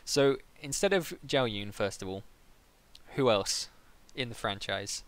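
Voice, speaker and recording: A man's voice: medium pitch, animated, a little slow. A man hosting a live-streamed interview in a casual, conversational way, putting questions and addressing viewers. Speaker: interviewer and livestream host.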